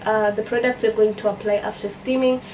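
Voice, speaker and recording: A woman's voice: medium pitch, steady tone, a little fast, a little mellow. A young woman from Kenya with a Kenyan accent, chatting casually as a vlogger and repeating phrases for emphasis. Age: young adult. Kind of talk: casual storytime chat to camera. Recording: distant mic with background noise.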